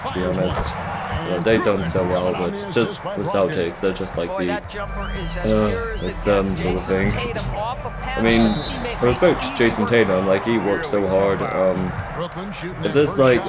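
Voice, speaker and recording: A man chatting casually as a gaming host, talking through basketball in a rambling, hesitant way. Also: deep voice. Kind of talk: casual chat commentary over basketball game. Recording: loud crowd roar behind the voice. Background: basketball video game crowd noise.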